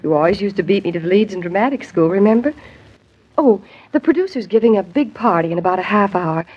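Gushing young ingenue, muffled radio. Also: female voice, medium pitch, animated, quick pace, husky. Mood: chatty, flattering. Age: young adult. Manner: effusive, theatrical. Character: Pat, gushing, breathless young Broadway ingenue, theatrical. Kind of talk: 1940s radio drama acting. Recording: muffled 1940s radio recording, faint noise.

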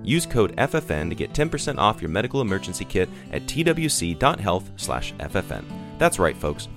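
Male ad announcer, quick n lively infomercial read, guitar music under it. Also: on the low side. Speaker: advertising announcer. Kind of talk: scripted infomercial sales pitch. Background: plucked guitar music.

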